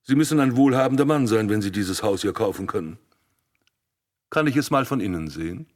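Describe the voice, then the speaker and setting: An actor playing a sly, sardonic police commissioner in a radio play, teasing and probing. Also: male voice, lowish voice, animated, a little fast, lightly acted. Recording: studio radio drama in reverberant room.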